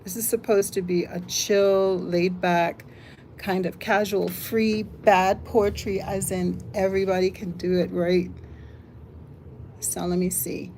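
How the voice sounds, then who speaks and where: An older woman from the United States hosting a casual live stream, chatting, reading comments aloud and thinking out loud. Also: medium pitch, flat delivery, slow pace, a little hiss or hum. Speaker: livestream host.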